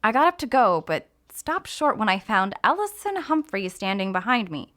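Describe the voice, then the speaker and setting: A woman's voice: mid-range voice, big pitch swings, normal speed, fairly bright tone. A woman narrating a scripted mystery story as an actor reading aloud. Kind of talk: scripted audiobook narration with character voices.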